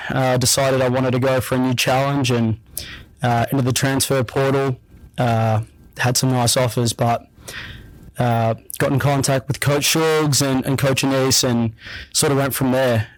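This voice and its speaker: Young Australian guy as a relaxed radio guest, average pitch, close and dry. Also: no echo, poor audio. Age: young adult. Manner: relaxed, easygoing, repeats himself with frequent fillers. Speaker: guest athlete. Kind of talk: casual radio interview.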